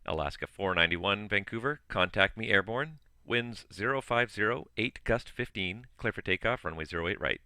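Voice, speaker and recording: A man's voice: on the low side, lively intonation, normal speed, few pauses, pushed and bright, clean tone, no room sound. A man working as an online air traffic controller, giving clipped radio calls with weary casual asides while his voice is giving out. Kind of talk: ATC phraseology with casual asides.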